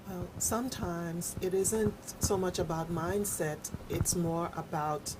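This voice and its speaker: A woman's voice: on the low side, steady tone, slow. A woman explaining her points in an articulate, firm way during a panel discussion. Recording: faint background noise, room ambience.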